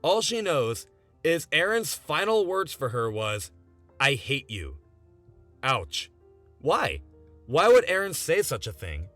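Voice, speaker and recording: A man in his twenties with a fairly high voice narrates a video essay. His delivery is earnest and very animated, leaning on emphasis. Music plays behind him. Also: takes it slowly, pauses often, dry recording. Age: 20s. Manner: earnest, emphatic with rhetorical questions. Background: background music.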